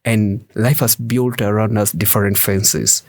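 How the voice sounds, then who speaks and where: A man hosting a podcast, delivering a scripted motivational monologue in a slow, emphatic, preacher-like way with lots of repetition. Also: fairly low pitch, fairly even intonation, darkish tone, dry recording, studio quality. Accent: West African English. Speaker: podcast host.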